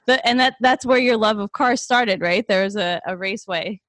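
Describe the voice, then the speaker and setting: A woman's voice: mid-range voice, moderate pace, fairly bright tone, no room sound. Female American podcast co-host, mid-range voice, informal and giggly, recorded close and dry. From Pennsylvania, USA. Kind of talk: casual chat.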